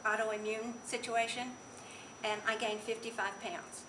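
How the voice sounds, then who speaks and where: A woman in her seventies giving soft-spoken, hesitant interview answers. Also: fairly high pitch, level tone, unhurried. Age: 70s. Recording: distant mic, noisy background.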